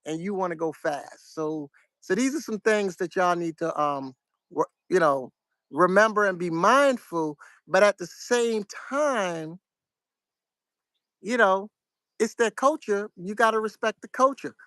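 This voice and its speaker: A middle-aged man from New York with a New York accent, giving casual, soft-spoken advice to his viewers in a fairly flat, monotone way. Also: sits high, unhurried, dry recording. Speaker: vlogger.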